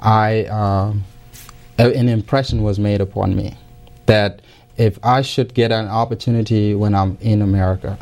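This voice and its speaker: Low, flat-voiced man with careful speech. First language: not English. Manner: many fillers and restarts, careful, measured. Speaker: interview guest. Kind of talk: hesitant conversational interview answers.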